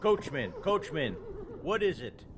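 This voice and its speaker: A man's voice: a little high, sing-song, measured pace. A man playing an anxious coach traveller in a radio drama, with a dramatic, urgent delivery. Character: anxious male coach traveller describing a menacing journey. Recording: very noisy, sound effects behind.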